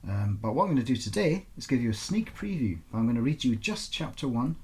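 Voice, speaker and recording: A man speaking as an author presenting and reading aloud his own fiction in an informal, expressive storytelling style. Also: medium pitch, a little fast. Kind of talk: informal introduction, then expressive audiobook-style narration.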